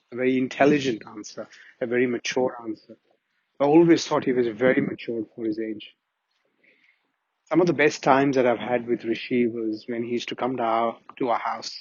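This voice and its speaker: Middle-aged man, husky and earnest, recalling fondly; dry, dull-sounding recording. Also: medium pitch, darkish tone, no echo. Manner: earnest, reminiscing, with frequent restarts. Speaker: family friend giving a memorial tribute. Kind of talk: personal spoken tribute. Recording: dull, muffled audio.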